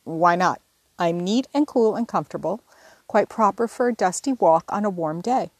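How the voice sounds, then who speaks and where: A woman's voice: lowish voice, expressive, moderate pace, breathy edge, dry recording. A woman reading as a blunt, contrary older sister. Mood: grumbling. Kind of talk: audiobook character reading.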